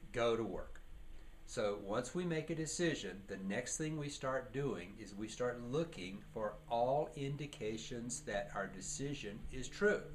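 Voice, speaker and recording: A man giving a leadership training lecture, teaching by posing questions and answering them, with emphasis on key words. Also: medium pitch. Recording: clearly noisy recording.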